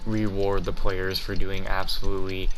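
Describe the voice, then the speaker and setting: A man's voice: sits low, little pitch movement, moderate pace, fairly bright tone. A man giving casual, rambling playthrough commentary as a gaming video host, offhand in manner. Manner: offhand, rambling, mild swearing. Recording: clear background noise, game ambience.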